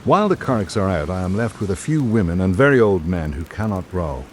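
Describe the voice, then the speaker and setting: A man playing a reflective visiting writer, reading his island journal in measured, literary radio drama narration. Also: low voice, sing-song, a little hiss or hum. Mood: observant.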